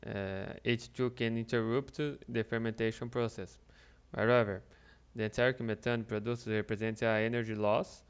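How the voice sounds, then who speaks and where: Low, clear-voiced man presenting haltingly. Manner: halting, stumbles over words in non-native English. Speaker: student seminar presenter. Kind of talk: scripted academic presentation.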